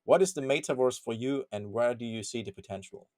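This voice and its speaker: Guy hosting a tech interview show, mid-pitched, friendly and quick with the intro. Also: darkish tone. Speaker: host. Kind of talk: upbeat show host interview.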